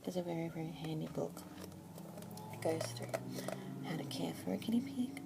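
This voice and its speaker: A young woman with an Australian accent whispering softly and gently as a pet adoption consultant in an ASMR roleplay. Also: on the low side, creaky. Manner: soft whispering, gentle and unhurried. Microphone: close sensitive ASMR mic. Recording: noisy hiss behind close whisper.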